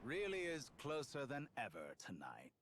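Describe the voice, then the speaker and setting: A man's voice: medium pitch, wildly swooping pitch, heavy background noise. A man playing a calculating, grandly ambitious villainous scholar in a video game, savouring each word dramatically. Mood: ominous, grandiose. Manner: slow, dramatic, savouring words. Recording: loud music behind the voice.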